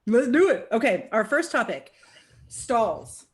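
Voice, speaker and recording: A woman's voice: fairly high pitch, very animated, slow pace. Chatty encouraging woman host. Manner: upbeat, encouraging, chatty with many "right?" tags. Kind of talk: casual group chat.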